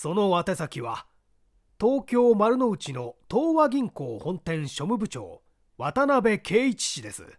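Male reader as solemn, polite letter writer in mystery audiobook: high voice, highly animated emphasis.

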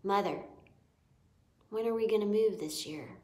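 A woman voicing a calm, serious, thoughtful young boy mouse who has been ill, in a storybook. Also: mid-range voice, unhurried, lightly acted. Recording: echoey room.

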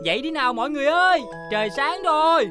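A woman's voice: high voice, expressive, quick pace. A woman voicing an earnest, caring little child in a children's cartoon, pleading and eager. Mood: urging. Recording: music behind.

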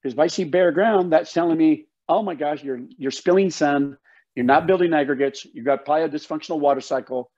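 A man's voice: a little high, fast, a little mellow. An older man, a guest educator explaining things in an emphatic, folksy interview style on a video call. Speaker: guest, soil health educator. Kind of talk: passionate explanatory interview talk. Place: home office, indoors. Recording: slightly dull video call audio.

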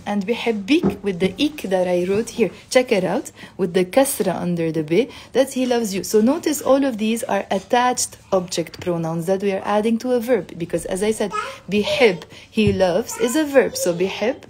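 A woman in her thirties teaching a casual home video lesson, saying phrases slowly and repeating them so learners can copy her. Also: medium pitch, lively intonation, noisy background. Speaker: Arabic teacher. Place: living room at home. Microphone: phone camera at arm's length.

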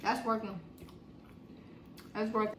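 A woman's voice: medium pitch, flat delivery, measured pace, slight background noise. A young woman chatting casually to viewers while she cooks in her home kitchen, filmed on a phone set on the counter. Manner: chatty, talks to viewers as y'all, chants while working. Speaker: vlogger. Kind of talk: casual cooking vlog chat.

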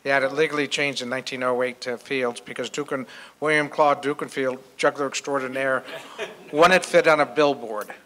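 A man's voice: medium pitch, steady tone, normal speed, a bit bright. A man chipping in informally with short anecdotes and details during a family-history talk. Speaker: co-presenter adding family details. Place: hotel conference room.

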